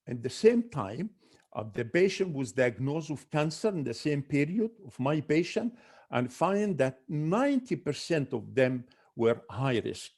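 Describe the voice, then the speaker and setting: Man, ENT doctor, webinar lecture, medium pitch, careful pace, lists symptoms one by one. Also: a little mellow. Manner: careful, listing symptoms one by one with frequent restarts. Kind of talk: medical lecture.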